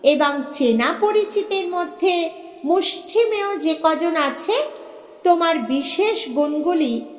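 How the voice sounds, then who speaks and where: A woman voicing a grave, blunt, eccentric man in his late fifties in an audio story, slow, earnest and admonishing. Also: very high voice, expressive, exaggerated voice. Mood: grave, guarded. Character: eccentric, grave, blunt 58-year-old gentleman. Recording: echoey with long reverb.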